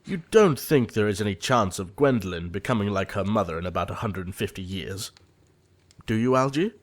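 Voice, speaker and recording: A man playing an earnest young gentleman suitor in a period comedy audio play, formal and easily exasperated. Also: fairly low pitch, expressive, a little fast, natural voice. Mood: irritated. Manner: formal, earnest, fussily indignant.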